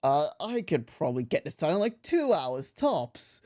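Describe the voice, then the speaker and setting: A young man from Australia talks casually on a live game stream, his voice sitting a little higher than most men's. He rambles, restarts his sentences and laughs often as he chats with viewers. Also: sing-song, normal speed, darkish tone. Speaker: livestreamer. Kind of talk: casual live-stream chat while gaming.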